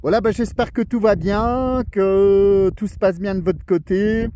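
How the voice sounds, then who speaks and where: Male radio hobbyist, French accent, notably high voice, courteous and chatty. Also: fairly even intonation, unhurried, warm tone, a little hiss or hum. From France. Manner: friendly, courteous. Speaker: amateur radio operator. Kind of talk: casual radio contact chat. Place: outdoors on a small mountain summit.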